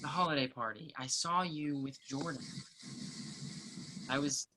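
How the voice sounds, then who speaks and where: An actor playing a charming, earnest college soccer player in a virtual reading of a stage play. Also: male voice, a little high, a little slow, noisy background, lightly acted. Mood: confessional. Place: remote virtual reading over video call.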